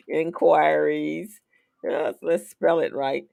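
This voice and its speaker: A man hosts a live business stream, talking slowly and gravelly with a slightly raised pitch. He pauses and fills with "um" often, and keeps the guest going with short affirmations. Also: flat delivery, darkish tone. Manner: unhurried, halting, many ums and short affirmations. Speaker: show host.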